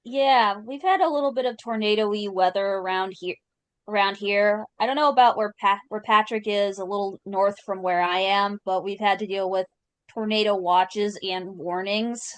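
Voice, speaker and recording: A woman co-hosts a podcast with an upbeat, chatty delivery. Her voice is mid-pitched and recorded close and dry. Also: fairly even intonation, normal speed, dry recording. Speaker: podcast co-host. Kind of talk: casual chat.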